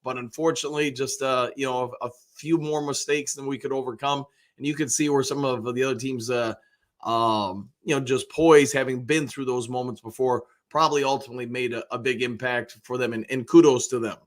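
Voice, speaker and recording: American guy doing a live sports fan show, voice a bit hoarse, chatty and rambly, mid pitch. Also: fairly even intonation, a little fast. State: slightly hoarse. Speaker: sports show host, basketball coach and realtor.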